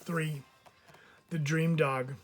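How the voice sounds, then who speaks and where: A man's voice: on the high side, steady tone, very slow, soft background music. A man reading a children's story aloud like a teacher, drawing out phrase endings for emphasis.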